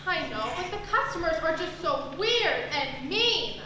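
A woman's voice: extremely high pitch, sing-song, measured pace, sharp tone, a little hiss or hum, exaggerated voice. A young woman on stage playing a polite, doubtful new store employee in a comedy. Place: school theater stage. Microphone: distant camera in the audience. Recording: echoey theater stage from far off.

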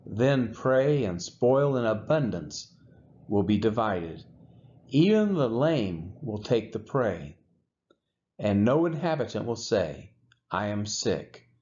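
A man reading scripture aloud in a measured, unhurried way, as the regular reader of a daily series. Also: fairly low pitch, muffled recording. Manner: measured, expressive rises and falls.

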